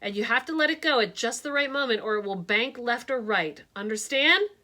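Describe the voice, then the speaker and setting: A woman's voice: on the high side, expressive, a little fast, bright, forceful tone, pitched above the performer's own voice. Woman doing an urgent, instructing dad.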